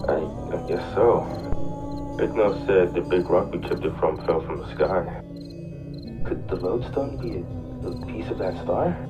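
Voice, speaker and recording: A man playing a young, burdened but determined elf chief in a dramatic comic dub, speaking gravely and deliberately. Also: low voice. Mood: wondering. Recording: dull muffled audio under loud music. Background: loud music.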